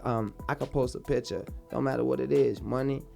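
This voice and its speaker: A young man speaks in a laid-back, rambling way, often circling back on his own words. His voice has a gravelly, creaky edge. Faint music plays behind him. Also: mid-range voice, steady tone, a little fast, a little mellow. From Baltimore, born in Dallas. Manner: laid-back, repeats himself a lot. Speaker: rapper guest. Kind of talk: casual interview talk.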